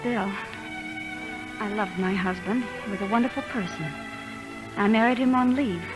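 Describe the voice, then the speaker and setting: A woman's voice: medium pitch, moderate pace, music in the background, close to an everyday voice, rough recording. An actress playing a candid young widowed mother at college in an old radio drama. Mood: earnest. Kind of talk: 1950s radio drama acting.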